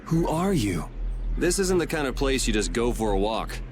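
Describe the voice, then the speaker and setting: A man's voice: mid-range voice, very animated, moderate pace, background noise, natural voice. Cool, sardonic young adventurer played by a man. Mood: guarded. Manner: dry, wry, casual. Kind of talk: English video game voice acting.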